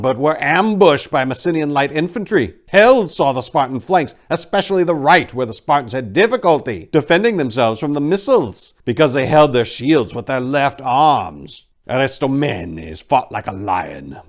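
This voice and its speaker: A man narrating a story in a dramatic, theatrical way, with deliberate phrasing and emphatic swells. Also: medium pitch. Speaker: podcast host and narrator.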